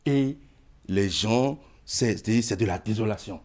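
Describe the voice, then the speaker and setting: Halting African-accented man, mellow voice. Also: on the low side. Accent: African French. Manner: halting, repeating words as he searches for them. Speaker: guest. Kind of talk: talk show conversation.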